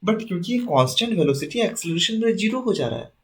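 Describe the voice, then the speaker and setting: Slightly hoarse male engineering tutor. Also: sits high, big pitch swings. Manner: reads English questions slowly, explains in quick Hindi asides; keeps checking in with listeners. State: sore throat. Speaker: exam coaching teacher. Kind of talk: online exam-prep lesson, Hindi mixed with English.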